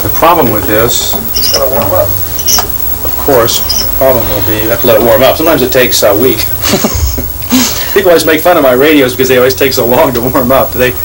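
A man's voice: medium pitch, moderate pace, pauses often. A middle-aged man hosting in a casual, chatty style on location. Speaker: host. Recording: noisy old videotape location sound.

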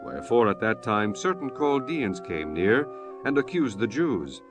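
A man narrating scripture from a script in a measured, formal manner. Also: medium pitch, sing-song, music in the background.

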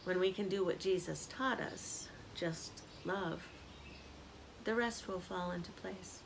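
Slow, gravelly-voiced older woman minister. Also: on the low side, slight background noise, rough recording. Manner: slow, soft-spoken, reflective. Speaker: community minister. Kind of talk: gentle spiritual reflection. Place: outdoor porch. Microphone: camera at close range. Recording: outdoor ambience audible behind.

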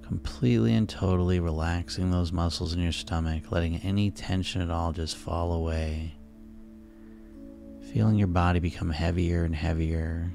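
Male hypnotist, very low and mellow, soothing sleep-session narration with soft music underneath. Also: fairly even intonation, creaky. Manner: soothing, unhurried, repetitive. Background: relaxing background music.

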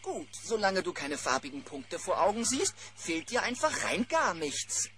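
A man's voice: high voice, sing-song, normal speed, fairly bright tone, slight background noise. A man voicing a breezy, wily, free-spirited bear who talks in jaunty slang in a children's cartoon audio play. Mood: mock grave. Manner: jaunty slang, smooth-talking.

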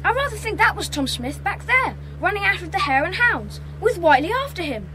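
Very high-voiced child. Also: female voice, very animated, brisk. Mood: urgent, polite. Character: polite, excited child. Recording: clear vehicle hum behind. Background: car engine hum.

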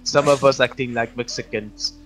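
Man putting on a bright, boastful cartoon warrior creature. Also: mid-range voice, steady tone, moderate pace, soft background music, natural voice, poor audio. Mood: grandiose. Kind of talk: improvised role-play interview in a virtual-reality game.

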